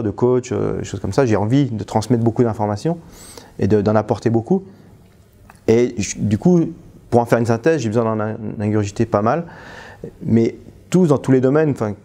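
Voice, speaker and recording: A man speaks in a gravelly, dark-toned voice, hesitating and restarting his sentences as he gives a spontaneous testimonial. He sounds like a coach talking off the cuff, trailing off with little filler words. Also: fairly low pitch. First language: French. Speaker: trainer and stress coach.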